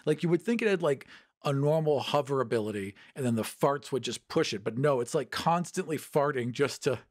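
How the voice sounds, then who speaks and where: A man's voice: mid-range voice, animated, a little fast. A man chatting casually as a podcast guest, with playful, theatrical emphasis.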